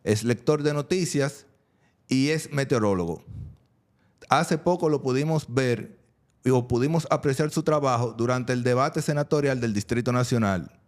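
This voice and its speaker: A man's voice: mid-range voice, normal speed. A man hosting an interview podcast, introducing and questioning a guest. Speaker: podcast host.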